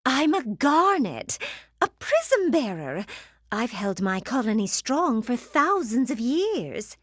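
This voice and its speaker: A woman voicing a vain, gushing gem queen villain in an animated game, theatrical and condescending. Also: on the high side, takes it slowly, no echo, studio quality, full character voice. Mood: haughty, menacing.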